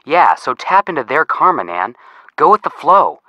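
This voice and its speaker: A man's voice: on the high side, normal speed, dry recording, muffled recording. A man playing a brash, wisecracking young amateur detective in a video game, joking and leaning hard on his words. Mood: upbeat, coaxing. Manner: animated, joking, exaggerated emphasis. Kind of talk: video game hint dialogue.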